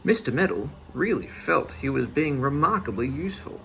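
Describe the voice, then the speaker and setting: A man narrating a children's story aloud in an expressive storyteller's manner. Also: on the high side, normal speed, creaky, slight background noise.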